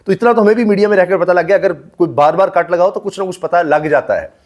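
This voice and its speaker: A man's voice: high voice, fast talker. A Pakistani man hosting a conversational interview, agreeable and often giving short acknowledgements. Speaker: interview host, media journalist.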